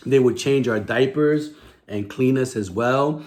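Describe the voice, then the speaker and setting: A man's voice: medium pitch, a little slow, a crowd in the background. A man hosting a children's story time, explaining simply in a chatty way and often checking with "right?". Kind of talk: children's storytime reading with casual chat.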